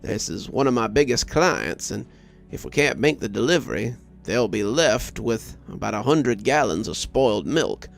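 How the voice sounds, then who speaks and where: A man voicing a gruff, businesslike boss of a trucking outfit, blunt and plain-spoken, in a story reading. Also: medium pitch, big pitch swings, normal speed, soft background music. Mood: businesslike, urgent.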